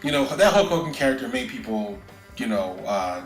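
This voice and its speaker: A man's voice: medium pitch, very animated, brisk, roomy sound, faint music behind, low-quality recording. A man co-hosting a casual podcast, talking loosely with frequent restarts and fillers. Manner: loose, rambling, frequent "you know" and restarts. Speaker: podcast co-host. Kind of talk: casual chat.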